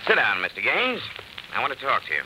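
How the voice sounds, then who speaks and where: A shrewd police detective presses his suspect with wry, pointed remarks. His medium voice moves in lively swings over the noise of an old radio crime-drama recording. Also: mid-range voice, quick pace. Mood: coolly insistent. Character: shrewd, dogged, sardonic male police detective.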